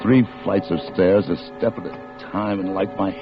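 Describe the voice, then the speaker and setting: An actor playing a desperate wounded gunman on the run, terse and halting, in an old radio crime drama. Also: low-pitched, fairly even intonation, lightly acted. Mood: pained, grim. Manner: terse, halting, hard-boiled. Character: wounded desperate fugitive gunman telling his own flight. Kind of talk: 1940s radio drama acting, first-person crime narration. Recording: muffled vintage radio broadcast with music behind.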